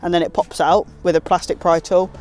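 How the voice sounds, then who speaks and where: A woman's voice: fairly low pitch, flat delivery, fairly quick, darkish tone. A British woman giving a casual, hands-on tutorial in an informal, self-deprecating way. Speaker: presenter of a car installation guide.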